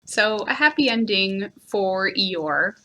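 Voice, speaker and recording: A woman reading a children's story aloud as a storyteller, in a gentle, expressive manner. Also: medium pitch, slow, low-quality recording. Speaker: library storyteller.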